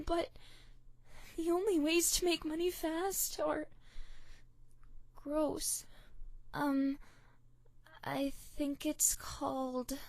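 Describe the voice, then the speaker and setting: A woman voicing a sweet, anxious high-voiced schoolgirl. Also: no echo. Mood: sad, confiding. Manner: soft, polite, often pleading or shy. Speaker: voice actress for a game character. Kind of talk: game voice lines.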